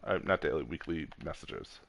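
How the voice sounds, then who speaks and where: A man's voice: very deep voice, lively intonation, moderate pace, vocal fry, crowd noise. A man streaming a game, switching between casual joking chat and reading the game text aloud as narration. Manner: joking, self-deprecating.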